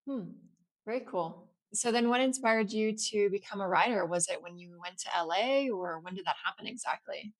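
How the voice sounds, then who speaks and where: A woman's voice: medium pitch, moderate pace, dry recording, low-quality recording. Upbeat woman hosting an interview. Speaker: interview host. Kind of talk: casual interview.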